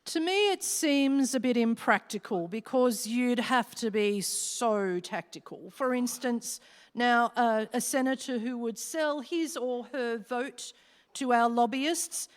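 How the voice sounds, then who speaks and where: A woman playing an imperious female devil who chairs a meeting in Hades, speaking formal rhyme in a radio play. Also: fairly high pitch. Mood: presiding, formal. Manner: formal, measured rhyming delivery. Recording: echoey hall, live stage.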